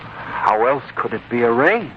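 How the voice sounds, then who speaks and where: A deferential young bridegroom with a medium-pitched voice. He speaks in 1940s radio drama style. The recording is muffled and faintly noisy. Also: sing-song, unhurried. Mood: puzzled. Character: dutiful, puzzled young bridegroom.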